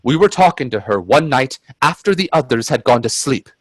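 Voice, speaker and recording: A man's voice: medium pitch, animated, moderate pace, dry recording, natural voice, low-quality recording. A man playing a cautious, anxious Edwardian time traveller in a radio play, earnest and reflective. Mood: reflective narration. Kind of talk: radio play acting and narration.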